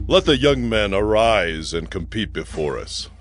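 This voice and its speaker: A man's voice: fairly low pitch, sing-song, a little slow. A man playing an earnest, pleading army commander in a dramatized scripture audio drama, speaking in declamatory appeals. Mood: commanding. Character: battle-weary male army commander, urgent, pleading warrior. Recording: dramatic music behind the voice.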